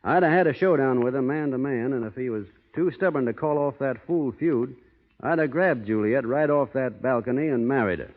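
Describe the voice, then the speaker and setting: An actor playing a plainspoken, stubborn, honorable cowboy with a drawling American Western accent in an old radio western. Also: male voice, mid-range voice, fairly quick, narrow, tinny audio, lightly acted. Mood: bold, courting. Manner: drawling folksy cowboy talk, understated. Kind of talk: 1930s-40s radio western acting. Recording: boxy old radio recording, hall reverb.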